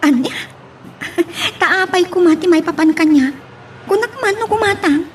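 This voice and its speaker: A high-voiced young woman, played by an actress in a romantic audio drama. She comes across as coy and puzzled by her suitor, with faint noise behind her. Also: normal speed. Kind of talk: Ilocano radio-style love drama acting.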